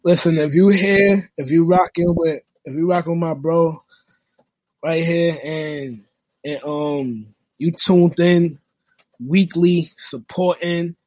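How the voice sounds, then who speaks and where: A young man from New with a husky voice, a podcast guest talking earnestly and motivationally in casual slang. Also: a little high, steady tone, takes it slowly, darkish tone, rough recording. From New York. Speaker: guest, music industry worker and forex trader.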